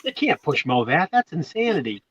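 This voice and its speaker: A man's voice: a little high, big pitch swings, a little fast, fairly bright tone, husky, dry recording, lo-fi sound. A middle-aged man chatting on a live stream, joking and teasing, with a voice that sounds younger than he is. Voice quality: youthful-sounding voice. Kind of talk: casual live-stream chat.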